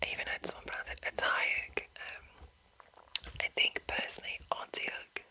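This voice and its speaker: A woman reading and commenting as an ASMR host in a breathy, unvoiced whisper, deliberate and pausing between short phrases. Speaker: host reading a game rulebook.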